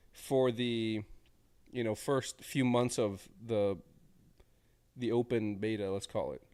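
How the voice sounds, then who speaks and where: A man's voice: medium pitch, darkish tone. A male streamer with a cold, sniffly as he gives casual commentary on game previews, thinking aloud and rambling with restarts.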